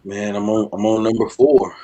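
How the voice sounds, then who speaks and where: A man's voice: on the low side, steady tone, normal speed, mellow, muffled recording. A man talking casually as a guest author on a talk show. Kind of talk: casual chat.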